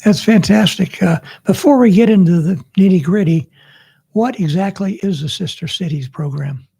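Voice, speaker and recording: A man co-hosting a local radio talk show, his voice hoarse, talking slowly with many pauses and fillers. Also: high voice, dark tone. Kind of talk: casual radio chat. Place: radio studio.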